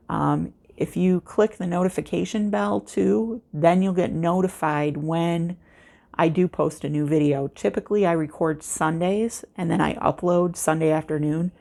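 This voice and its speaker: Low-pitched, creaky American woman. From United States. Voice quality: calming. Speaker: craft vlogger, host. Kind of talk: casual unscripted vlog chat. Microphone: external microphone with a cell phone.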